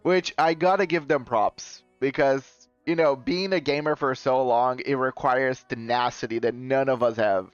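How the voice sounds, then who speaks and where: A man's voice: mid-range voice, fairly even intonation, normal speed, few pauses, smooth, no echo. A man streaming live and chatting casually with his viewers, rambling and often correcting himself with a chuckle. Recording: dull lo-fi stream audio with faint music.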